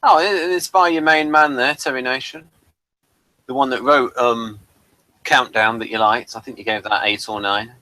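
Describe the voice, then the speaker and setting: A man chatting casually as a podcast reviewer, in a hesitant, halting way. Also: mid-range voice, bright, forceful tone, no room sound, low-quality recording.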